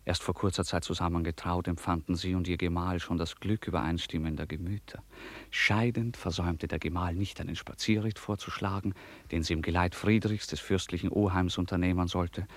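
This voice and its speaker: A man narrating a literary radio play in a measured, formal storytelling manner. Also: low voice, steady tone.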